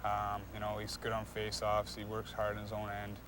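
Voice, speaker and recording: Young man, flat-pitched, halting interview talk. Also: noisy background. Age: young adult. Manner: halting, repeats words, frequent "you know". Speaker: ice hockey player being interviewed. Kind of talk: casual interview answers.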